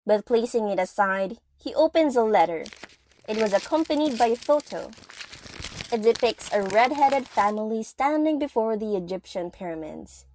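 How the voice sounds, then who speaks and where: A woman's voice: a little high, a little mellow, slight background noise, poor audio. A woman reading scripted narration for an audio drama as its storyteller. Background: music.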